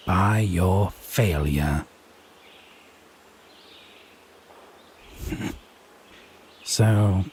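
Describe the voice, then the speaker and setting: Man playing a slow-drawling, smug tipsy fae. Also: lowish voice, a little mellow, a little hiss or hum, exaggerated voice. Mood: amused, condescending. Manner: drawling, mocking, sardonic, pet names. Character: smug, tipsy male fae, teasing and condescending lover. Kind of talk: slow theatrical audio roleplay monologue addressed to listener.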